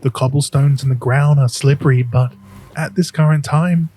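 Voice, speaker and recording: A man's voice: mid-range voice, fairly even intonation, moderate pace, dark tone, slight background noise. A man narrating a scripted audio drama in the second person, telling the listener what they do and feel. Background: music.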